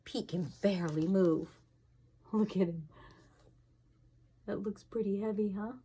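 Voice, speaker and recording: A woman's voice: medium pitch, measured pace, dark tone, slight background noise. A woman fire inspector reading a storybook aloud to children, expressively, with casual asides and questions to her young listeners.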